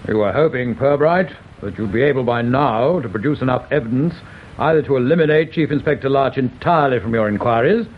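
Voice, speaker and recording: An actor playing a senior police chief in a radio drama, formal and pompous, with an upper-class English accent. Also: male voice, a little fast, slight background noise, natural voice. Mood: awkward, delicate. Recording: dull rumbly old radio recording.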